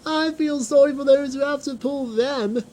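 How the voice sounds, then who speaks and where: A woman's voice: high-pitched, moderate pace, dark tone, voice pushed up, lightly acted. A woman voicing a vain, haughty male steam engine in a children's audio drama, complaining and exclaiming. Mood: mocking.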